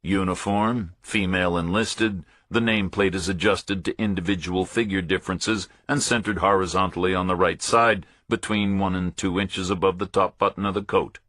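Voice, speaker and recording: Male audiobook narrator: low, forceful, terse, matter-of-fact first-person thriller storytelling, very dry sound. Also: fairly even intonation, normal speed, hardly any pauses, no echo.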